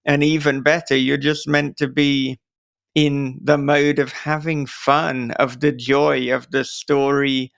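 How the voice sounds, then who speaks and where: Slow, earnest man with creaky voice. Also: mid-range voice, level tone, a bit bright, no echo, clean studio sound. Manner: earnest, thoughtful, advising. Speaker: podcast interviewer and host. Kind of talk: reflective interview talk.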